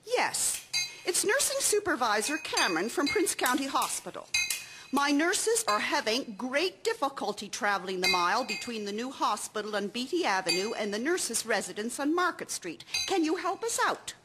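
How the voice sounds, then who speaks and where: A businesslike hospital nursing supervisor, played by a woman in a radio drama. Her voice sits high and moves lively, firm and direct. Loud clinking of cutlery and dishes runs behind her. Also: moderate pace, very noisy.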